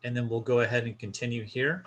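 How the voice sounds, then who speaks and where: Male tutorial presenter, medium pitch, casual and instructional, guiding viewers through an on-screen walkthrough. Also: steady tone, quick pace, a bit bright. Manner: informal, explanatory, step-by-step.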